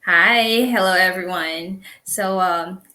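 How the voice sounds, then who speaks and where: A woman in her thirties from the Philippines, a guest in a casual interview, speaking haltingly with repeated words and frequent laughs. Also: lowish voice, sharp tone. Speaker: guest, business owner.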